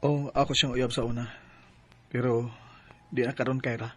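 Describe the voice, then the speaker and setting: An actor playing an eager, smooth-talking young boyfriend in a Cebuano radio drama. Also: male voice, mid-range voice, level tone, normal speed, background noise, natural voice. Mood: defensive. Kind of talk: Filipino radio drama acting.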